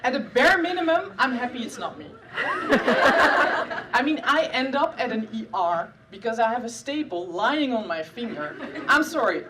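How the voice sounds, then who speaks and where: A woman's voice: a little high, sharp tone, recorded from a distance, a little hiss or hum. A woman in her thirties from the Netherlands with a Dutch accent, performing a live stand-up set about her science work in a dry, self-deprecating way with frequent filler sounds. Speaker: neuroscientist doing stand-up. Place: stage at a live comedy show.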